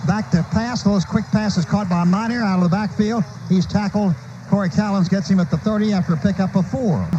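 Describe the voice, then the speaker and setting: Male American radio play-by-play announcer, high-pitched, clipped live football calls, noisy archival broadcast with stadium din. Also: steady tone, a little fast, dark tone, muffled recording. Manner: short clipped phrases calling action as it happens.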